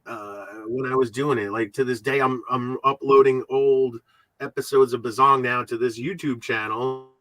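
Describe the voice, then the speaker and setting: A man hosting a podcast in casual, rambling, halting talk, often repeating his words and swearing freely. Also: mid-range voice, steady tone, a bit bright, no room sound. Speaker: podcast host.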